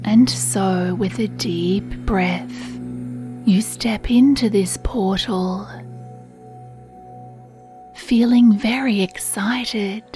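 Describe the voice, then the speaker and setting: A woman gently narrating a children's sleep meditation story in a soothing, hushed, breathy voice. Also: mid-range voice, very slow, pauses often. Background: soft music.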